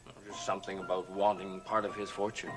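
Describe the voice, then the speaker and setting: An actor playing a scheming, evasive occultist relative in a gothic television soap, speaking in a measured, conspiratorial way. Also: male voice, low-pitched, flat delivery, music in the background, lightly acted, low-quality recording. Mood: sly, insinuating. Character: scheming, smooth male occultist relative after an inheritance.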